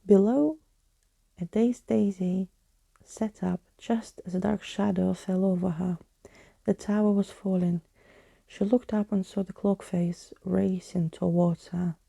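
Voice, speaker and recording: A woman gives a gentle ASMR storybook reading. Her voice is very mellow and breathy, with creaky edges. She keeps the delivery hushed and soothing, as if for bedtime. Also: medium pitch, steady tone, measured pace, no echo. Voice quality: soft, breathy. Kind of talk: soft-spoken and whispered ASMR storybook reading.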